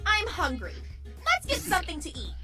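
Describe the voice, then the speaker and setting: Very high voice, swooping delivery, boastful young female unicorn magician, theatrical, overfriendly, cartoon, music behind. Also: wildly swooping pitch, moderate pace, full character voice. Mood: pushy, sugary. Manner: theatrical, grandiose, refers to self in third person. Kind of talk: animated cartoon fan-series voice acting. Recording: background music under the dialogue.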